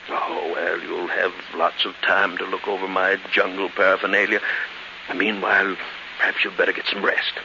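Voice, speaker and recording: An actor playing an effusive, fussy elderly invalid uncle who stammers and repeats himself, in 1940s radio drama style. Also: male voice, fairly low pitch, lightly acted. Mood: genial, eerie relish. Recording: hissy, muffled 1940s radio broadcast.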